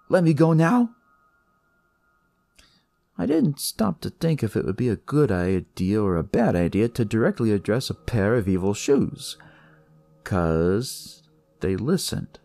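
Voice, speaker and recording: Slow, gravelly man telling dark stories. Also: fairly low pitch, wildly swooping pitch, no echo. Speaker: storyteller reading in first person as a detective. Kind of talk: dramatic scripted noir horror narration. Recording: music behind the voice.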